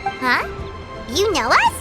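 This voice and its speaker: A woman voicing a tiny, chirpy, childlike fairy companion with a squeaky voice in a video game. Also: huge pitch swings, takes it slowly, exaggerated voice. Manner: chirpy, refers to herself in third person. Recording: loud music behind the voice.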